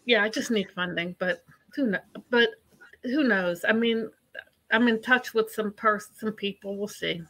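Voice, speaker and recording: A woman's voice: medium pitch, measured pace, fairly bright tone, low-quality recording. A woman chatting casually on a live show, rambling about her life and calling people "honey". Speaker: psychic host.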